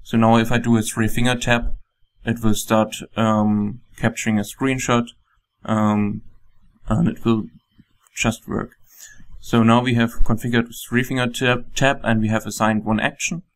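A man with a German accent, a software developer, calmly walking through a program on a screen recording with frequent ums. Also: mid-range voice, measured pace. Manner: calm, plain explaining with frequent ums.

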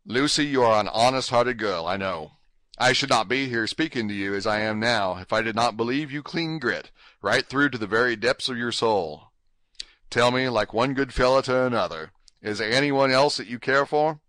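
A man's voice: medium pitch, moderate pace, fairly bright tone, no room sound, voice pushed down, natural voice. A man voicing an earnest young Texan man with a homespun, slangy, hearty manner, in a Texan accent, in an audiobook reading.

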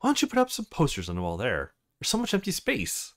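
A man's voice: medium pitch, huge pitch swings, fast talker, a bit bright. A man voicing a confident, teasing young woman, a model in a visual novel, in a breezy, playful manner.

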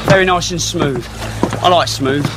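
A man's voice: a little high, normal speed, lightly acted. An actor playing a brazen young male swindler, smug, mock-polite and theatrical, in a radio drama. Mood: gloating. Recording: music and crowd noise behind.

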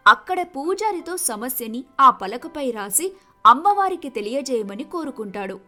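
A woman tells a scripted folk tale in a high-pitched voice. Her tone is bright and her delivery is expressive, with faint music underneath. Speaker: story narrator.

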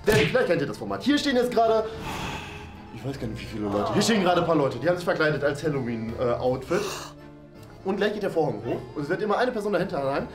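A young man hosts a casual game video in a rather high voice. His intonation swings widely as he thinks out loud. The room echoes around him and music plays underneath. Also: lively intonation, fast. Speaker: game show host and player. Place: small decorated room indoors. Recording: roomy indoor echo, music underneath. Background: background music.

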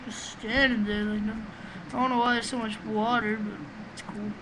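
High-voiced guy, profane rambling gamer. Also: little pitch movement, moderate pace. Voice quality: high, small voice. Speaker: gamer doing a let's play. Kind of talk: rambling gameplay commentary. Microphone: webcam microphone. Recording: noisy home recording.

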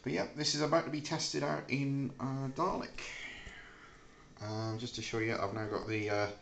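A middle-aged British man casually demonstrating a homemade device in a hesitant, halting, unscripted way. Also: medium pitch, level tone, vocal fry, a bit bright. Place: small hard-walled room indoors. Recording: echoey room, clicks and faint hiss.

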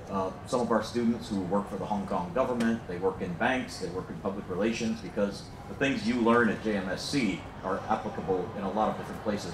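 A man's voice: lowish voice, distant mic, background noise. A middle-aged American man, a program director, giving a chatty, joking welcome speech with many fillers, in a large lecture hall. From United States. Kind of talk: informal welcome speech to an audience. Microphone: handheld mic, filmed from the back. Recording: roomy hall, heard from afar.

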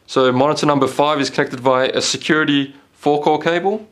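A man's voice: on the high side, steady tone, brisk. A man giving an instructional product demonstration as a company presenter. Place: small indoor meeting room. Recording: clearly noisy room recording.

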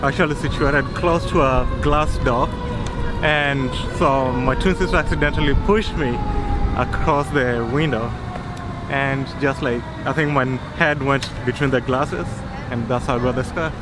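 A man's voice: mid-range voice, unhurried, loud music behind the voice. A young man telling a story from childhood outdoors, in a matter-of-fact way. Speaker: interviewee. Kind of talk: casual storytelling. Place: riverside walkway outdoors.

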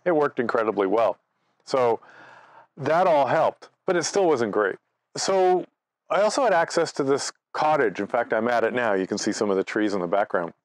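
Male presenter, sixties, mid-pitched, anecdotal and conversational, very dry acoustic. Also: lively intonation, normal speed, no echo. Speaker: amateur radio presenter. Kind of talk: informal conference presentation, personal storytelling. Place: outdoors by trees at a cottage.

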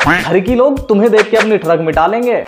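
A man's voice: sits high, fairly quick. Mocking young man roasting to camera. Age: young adult. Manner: mocking, sarcastic. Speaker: YouTuber host. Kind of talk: scripted comedic roast commentary to camera. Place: small room indoors. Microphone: lapel mic. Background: music.

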